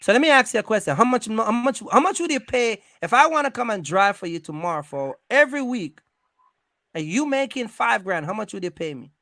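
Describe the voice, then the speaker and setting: A man hosting an animated advisory conversation about business, speaking emphatically, repeating himself and often checking in with questions. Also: high voice, fairly quick, no room sound, studio quality. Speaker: host giving business advice to truck owners.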